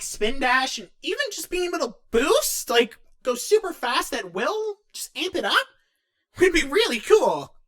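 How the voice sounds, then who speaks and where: A woman voicing an excitable, awkward, geeky young male cartoon character who rambles and hesitates as he answers fan questions in character. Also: very high-pitched, exaggerated voice. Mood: eager. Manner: animated, rambling, hesitant, self-interrupting.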